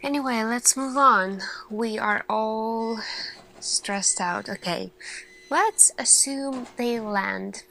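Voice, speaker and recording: A woman acts out an earnest lead scientist in a sci-fi ASMR roleplay. She has a fairly high female voice and speaks very slowly and softly. Her delivery is deliberate and thoughtful, pausing around each question she puts to her team. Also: vocal fry, heavily acted, rough recording. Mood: pensive, stressed.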